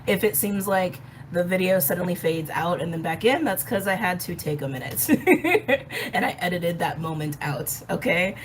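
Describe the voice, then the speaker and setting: A woman with a raspy, hoarse voice that sits fairly low explains astrology in a relaxed, chatty way. She talks to her viewers directly and fills her pauses with ums. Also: a little hiss or hum. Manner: chatty, with frequent ums and light laughs. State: hoarse, recovering from a respiratory illness. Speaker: astrology and tarot channel host. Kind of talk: casual explanatory astrology talk.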